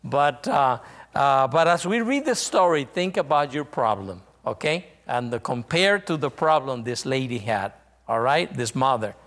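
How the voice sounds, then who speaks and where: Slow, halting Mexican-accented male preacher. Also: medium pitch, lively intonation, a bit bright, long reverb tail. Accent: Mexican Spanish. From northern Mexico. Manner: halting, many fillers and restarts. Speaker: visiting missionary preacher. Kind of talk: sermon.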